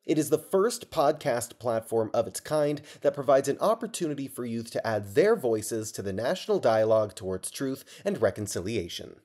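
A man's voice: medium pitch, a little mellow. A man reading a scripted podcast introduction as the announcer.

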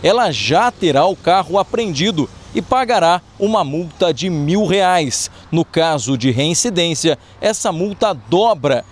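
A young male reporter delivers a news report to camera. His voice sits a little high, and he speaks outdoors into a handheld microphone. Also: lively intonation, a little slow, hardly any pauses. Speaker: field reporter. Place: outdoors in front of a building on a town street.

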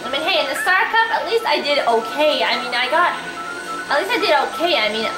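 A woman's voice: sits high, sing-song, a little fast. A young woman chatting casually while playing a kart racing game with a friend, talkative and a little self-deprecating. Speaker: let's play host. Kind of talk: casual gameplay chat. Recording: echoey room with game music.

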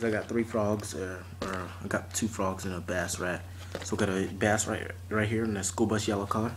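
A young man in his late teens chatting casually and haltingly as he presents items to a camera at home. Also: on the low side, steady tone. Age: teens to young adult. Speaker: vlogger showing fishing tackle. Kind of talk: casual unscripted unboxing chat. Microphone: camera or phone mic at arm's length. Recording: noisy amateur recording with clicks and rustling.

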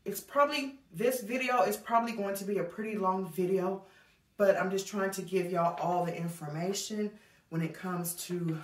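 A woman, chatting casually to camera in a home vlog and calling her viewers y'all. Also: mid-range voice. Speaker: home vlogger. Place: small indoor hallway, later a child's bedroom. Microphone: camera on a stand a few feet away. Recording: echoey room, voice from a distance.

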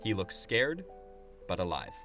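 A man's voice: deep voice, sing-song, unhurried, fairly bright tone. A man narrating a tabletop game as game master. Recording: music playing behind.

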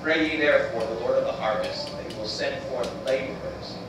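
A man in his thirties from the United States, giving an earnest, measured missionary presentation. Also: medium pitch. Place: church sanctuary, voice played on screen video. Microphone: recorded from room speakers by far camera. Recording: roomy, distant, noisy church playback.

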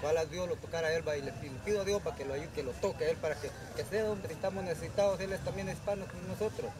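Man from Honduras, tired, earnest, quick speech, slightly high voice, loud outdoor noise, handheld mic at night. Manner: earnest, pleading, repeats "va" as a filler. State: tired and worn out. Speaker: migrant interviewed on the street. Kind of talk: street interview. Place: outdoors at night by a river border crossing. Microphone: handheld reporter mic.

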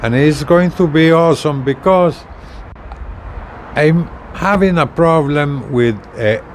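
A man in his sixties giving a casual, plain-spoken, step-by-step product demonstration with halting phrasing. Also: on the high side. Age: 60s. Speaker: gadget reviewer. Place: outdoors on a residential balcony. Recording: clear outdoor ambient noise.

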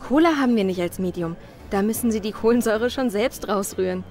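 A woman playing a young, brisk, officious cinema counter clerk who rattles off sales jargon in a comedy cartoon sketch. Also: mid-range voice, a little mellow, soft background music, lightly acted. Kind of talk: comedy audio sketch acting.

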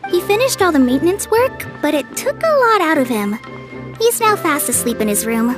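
A composed and gentle young woman from a video game. She speaks politely in a very high voice over clear background music. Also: sing-song, normal speed. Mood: reassuring.

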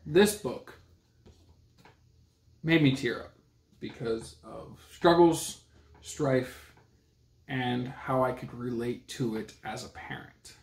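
A man's voice: medium pitch, steady tone, slow, long pauses. A man in his thirties in casual, teasing banter as a book vlog co-host, recorded in a room at home. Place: small room at home, indoors. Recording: roomy echo from camera distance.

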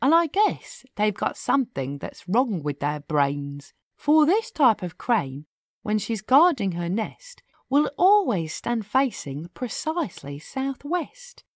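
Slow, lively woman reading a children's story. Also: mid-range voice, no room sound, clean studio sound. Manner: expressive, deliberate rhythmic delivery. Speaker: storybook narrator. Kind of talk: animated children's read-aloud of a rhyming story. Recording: music behind the voice. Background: music.

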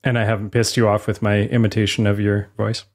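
A man's voice: lowish voice, level tone, brisk. A man as podcast co-host, chiming in with short joking interjections in casual chat.

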